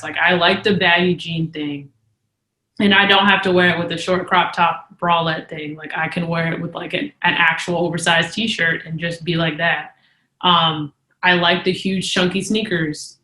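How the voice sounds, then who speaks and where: A woman's voice: lowish voice, steady tone, normal speed, bright, forceful tone, reverberant, rough recording. A young woman co-hosting a casual podcast who speaks candidly and emphatically and says "like" a lot. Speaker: podcast co-host.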